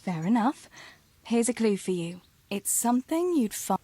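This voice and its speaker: An actress playing a tender, gently teasing young village woman in a medieval video game, soft-spoken and earnest. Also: on the high side, big pitch swings, normal speed, slightly rough, soft background music. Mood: playful, teasing. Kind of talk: naturalistic video game dialogue acting.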